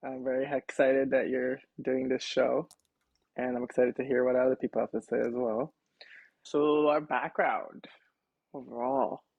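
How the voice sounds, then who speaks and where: A young man from Israel, a podcast guest, telling his story in relaxed casual talk with wry asides and many fillers, recorded on his own mic at home. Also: medium pitch, a little slow, gravelly, no echo, lo-fi sound. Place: home setup, self-recording.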